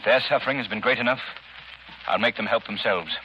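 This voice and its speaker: An actor playing a resolute, grave avenger with an authoritative, exhorting manner in 1930s radio drama style. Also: male voice, fairly low pitch, fairly quick, muffled recording, close to an everyday voice. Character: resolute, commanding crime-fighting avenger, grave and urging. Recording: noisy, hissy 1930s radio recording.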